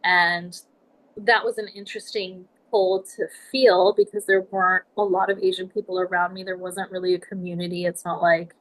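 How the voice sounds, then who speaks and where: A woman in her forties from Nebraska, a podcast guest telling her life story in a reflective, measured way. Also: lowish voice, little pitch movement, a bit bright, poor audio. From United States, Nebraska. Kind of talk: casual interview talk.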